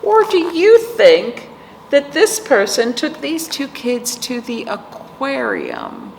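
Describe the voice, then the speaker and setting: An echoey room surrounds a woman reading aloud to young children. Her pitch moves up and down in a lively sing-song, and she takes her time with each phrase, speaking straight to her small listeners as a storytime host. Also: slow pace. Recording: echoey reverberant room, clear background noise.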